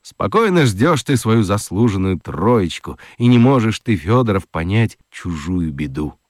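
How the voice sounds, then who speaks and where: A man narrates a children's story in a theatrical, expressive way. His voice is on the low side, with lively intonation and a dry, close sound. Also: a little slow, dry recording.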